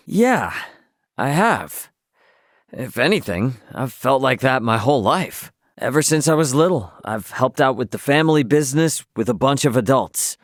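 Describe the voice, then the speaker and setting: A man's voice: mid-range voice, no room sound, studio quality. A man voicing a brash, good-natured young starship captain in a video game, with a breezy, slangy, informal delivery. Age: young adult. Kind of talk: English game dub dialogue, casual and expressive.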